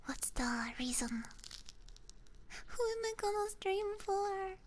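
A woman hosting a live ASMR stream, chatting casually in a soft, mostly whispered voice close to the microphone. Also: very high-pitched, very animated, takes it slowly, background noise. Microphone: close binaural ASMR microphone.

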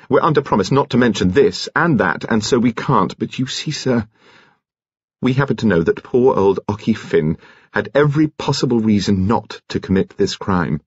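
A man's voice: medium pitch, husky, pitched above the performer's own voice. A man voicing an earnest, well-bred young Englishman in an audiobook, frank, polite and a little awkward. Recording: muffled, echoey room.

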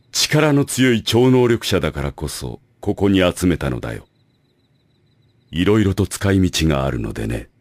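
Arrogant scheming director for anime drama; low register with swinging intonation, formal and smug. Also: male voice, sing-song, a little slow, pauses often, slight background noise. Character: scheming, arrogant adult male academy director villain. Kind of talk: anime audio drama acting.

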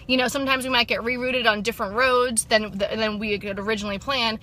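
A woman's voice: a little high, fairly even intonation, fairly quick, sharp tone, slight background noise. A woman in her thirties, an upbeat sobriety coach chatting with viewers from a parked car into a phone held at arm's length, with many fillers. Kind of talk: casual motivational vlog. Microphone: phone camera at arm's length.

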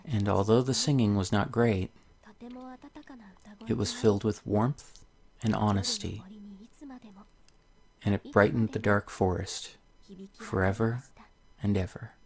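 A man's voice: lowish voice, fairly even intonation, takes it slowly, vocal fry, long pauses, a little mellow. A man hosting a video game playthrough, commenting on the game and reading its story text aloud. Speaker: let's play host.

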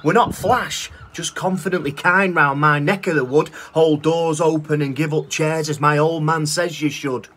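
A man's voice: on the high side, fairly even intonation, moderate pace, hardly any pauses, fairly bright tone. A man in his thirties with a Northern English accent, reciting his own spoken word poem in a rhythmic, cheeky style, filmed outdoors on a phone held at arm's length. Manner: rhythmic rhyming delivery, cheeky and swearing. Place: outdoors by a brick wall.

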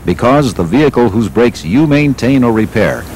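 An American man reading scripted instructional narration for a training film in a measured, didactic way. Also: mid-range voice, lively intonation, a little mellow, slight background noise, low-quality recording. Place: studio voice-over.

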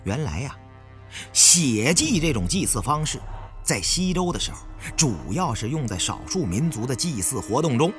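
A man voicing a long-winded, bookish adviser who explains ancient lore in a measured audiobook style. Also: mid-range voice, big pitch swings, faint music behind, close to an everyday voice. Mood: explanatory. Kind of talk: audiobook character acting.

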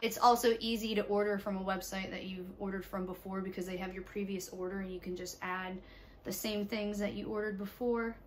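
A young woman chatting casually to camera as a mom vlogger, relaxed and rambling with fillers, sitting on her bed indoors. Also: mid-range voice, fairly quick, slight background noise. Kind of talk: casual vlog chat to camera.

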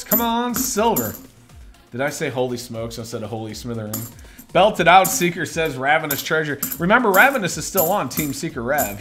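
A young man with a hoarse, scratchy voice, chatting upbeat and casually as a livestream host while greeting viewers. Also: mid-range voice, fairly bright tone. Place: indoors at a desk.

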